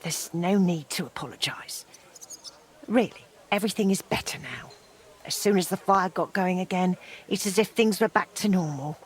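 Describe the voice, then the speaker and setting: A woman playing a forgiving, no-nonsense townswoman past her youth in a dramatic game, with a brisk, kindly manner. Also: lowish voice, slight background noise, close to an everyday voice. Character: forgiving, brisk older townswoman, self-described old crone.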